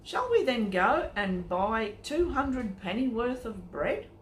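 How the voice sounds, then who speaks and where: A woman's voice: a little high, very animated, slow pace, pitched above the performer's own voice. A woman storyteller voicing concerned, practical male disciples in a Bible story, questioning and doubtful. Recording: echoey room with faint noise.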